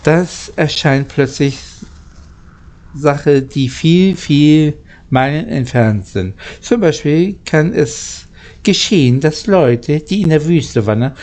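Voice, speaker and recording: A man reading a children's story aloud as an amateur narrator, haltingly, stumbling and rereading phrases. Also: a little high, lively intonation, a little mellow.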